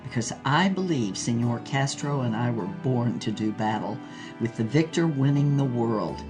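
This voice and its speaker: A woman's voice: very deep voice, animated, normal speed, over music. A woman voicing a powerful male politician, a romantic lover who speaks grandly and intimately in an audio drama. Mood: grandiose, romantic.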